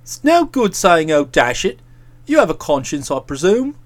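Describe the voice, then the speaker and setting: A man voicing an aggrieved, aloof young English gentleman in a storybook, speaking with cold, pompous sarcasm. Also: sits high, big pitch swings, moderate pace, pitched below the performer's own voice. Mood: cold, reproachful.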